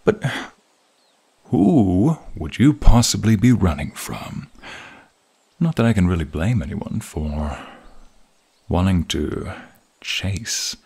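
Casting: a male actor as a smug, menacing fantasy archmage for ASMR roleplay, very low and husky, teasing and seductive. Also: sing-song, a little mellow, exaggerated voice. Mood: teasing, probing. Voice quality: husky, breathy, gravelly. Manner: slow, teasing, smug, drawn-out murmurs. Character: alluring, menacing male archmage, smugly toying with captive.

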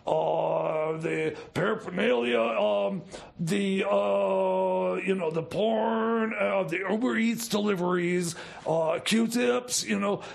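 A man acts out a jittery jailbird cellmate with a high voice full of heavy, croaky fry. He stammers and rambles through shady schemes in improvised radio comedy, breaking sentences into fragments. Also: slow, heavily acted. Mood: hesitant, scheming. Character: jittery scheming male inmate cellmate making shady deals.

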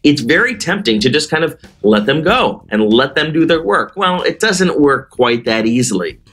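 A man hosting a podcast on recruiting, energetic in his intro and loose and chatty when asking questions. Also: on the high side, moderate pace. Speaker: podcast host. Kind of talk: podcast interview.

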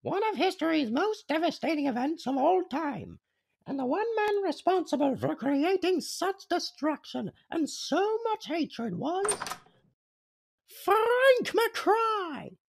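A woman voicing a stern schoolteacher in a cartoon comic dub, measured and lecturing. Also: very high voice, sing-song, gravelly, full character voice. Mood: sharp. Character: stern female school history teacher. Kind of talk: animated comic dub acting.

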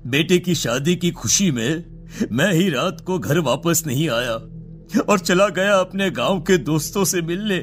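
A man voicing a grieving, guilt-ridden elderly Indian father in an animated horror story, with music underneath. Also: fairly high pitch, measured pace. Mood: tearful remorse.

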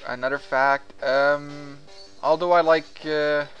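Slow-talking guy, french native, ums and restarts everywhere, faint game music behind. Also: fairly high pitch, little pitch movement, fairly bright tone, muffled recording. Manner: rambling, hesitant, many fillers and restarts. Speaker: gaming vlogger. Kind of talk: casual commentary over gameplay.

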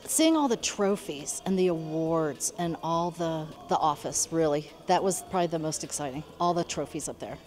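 A woman's voice: low voice, lively intonation, normal speed, hardly any pauses, darkish tone, faint music behind. A woman in her fifties giving casual interview replies as a visitor in a stable barn. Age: 50s.

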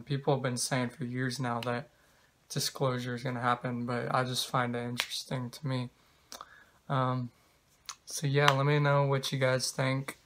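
A man in his twenties talking casually to camera in a halting, hesitant, rambling way, recorded indoors in a bedroom. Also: medium pitch, a bit bright. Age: 20s. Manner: halting, hesitant, trailing delivery. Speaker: vlogger.

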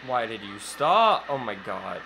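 Sassy young man, lively pitch. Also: a little slow, gravelly, background noise. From Texas. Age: young adult. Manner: sassy, campy, swears and exclaims loudly. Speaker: co-host reacting to a film. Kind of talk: casual reaction chat. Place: home room with bookshelves, indoors.

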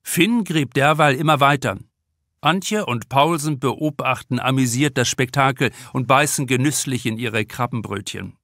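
A man's voice: medium pitch, a little slow, fairly bright tone, dry recording, clean studio sound. A man reading a crime novel aloud as a scripted audiobook narration. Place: dry studio.

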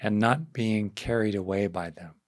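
A man leading a guided meditation as a teacher, speaking gently and softly in short phrases with long pauses. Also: on the low side, no echo, clean studio sound.

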